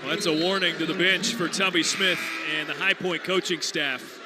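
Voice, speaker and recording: A man's voice: a little high, fairly even intonation, moderate pace. A man doing play-by-play as the announcer of a live basketball broadcast. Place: courtside in a basketball arena. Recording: noisy live arena broadcast.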